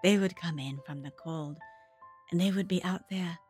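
A woman's voice: lowish voice, moderate pace, a little mellow, soft background music, natural voice. An actress playing an earnest, dutiful woman who tells her story reflectively and hesitantly in an audio drama monologue. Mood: wistful. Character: adult Japanese daughter recalling her diver mother, earnest, dutiful.

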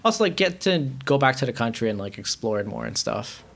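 Young man from Iran, loose rambling chat, lots of "like" and "um", mid-pitched. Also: sing-song, a little fast, gravelly, a bit bright. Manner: rambling, heavy use of filler words. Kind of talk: casual chat.

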